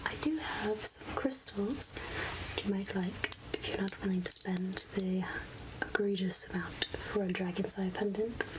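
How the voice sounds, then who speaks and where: A woman voicing a friendly, centuries-old witch of the woods in a soft-spoken ASMR roleplay, gentle and musing in a breathy whisper. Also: medium pitch, very noisy, close to an everyday voice. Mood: gentle, helpful. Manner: slow, gentle, musing, archly addressing a mortal. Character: three-hundred-year-old witch of the woods, friendly, wryly curious.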